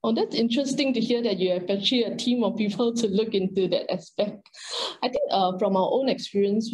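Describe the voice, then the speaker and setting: A woman's voice: mid-range voice, warm tone, low-quality recording. A middle-aged Singaporean woman moderating an online panel discussion in a conversational way over a video call. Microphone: video call microphone.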